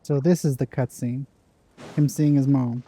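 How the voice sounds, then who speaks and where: A man's voice: mid-range voice, steady tone, measured pace, dark tone, husky, low-quality recording. A man giving casual commentary as he plays through a game on his channel. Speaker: gaming video host.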